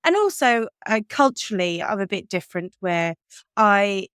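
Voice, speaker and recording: Chatty English-accented woman, smooth voice. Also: medium pitch, sing-song, moderate pace, fairly bright tone, no echo, studio quality. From Switzerland. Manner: chatty, candid, laughs often. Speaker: podcast co-host. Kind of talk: casual chat.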